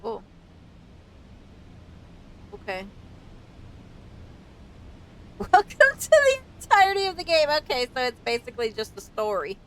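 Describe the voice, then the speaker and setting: Woman streamer over clear rumbling noise. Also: wildly swooping pitch, normal speed, long pauses, a bit bright, rough recording. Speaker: streamer playing and reading a visual novel. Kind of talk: casual let's play with read-aloud text.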